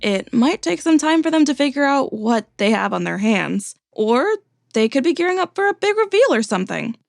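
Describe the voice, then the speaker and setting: A woman's voice: high-pitched, very animated, moderate pace, vocal fry, no room sound, clean studio sound, exaggerated voice. A young woman playing a restless, idealistic heroine in an audio drama, wry and casual in manner.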